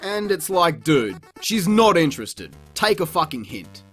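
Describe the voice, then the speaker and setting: A man's voice: a little high, big pitch swings, a little slow, a bit bright, husky, soft background music. A man narrating a scripted comedic review in a sarcastic, crude, mocking manner. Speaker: video reviewer.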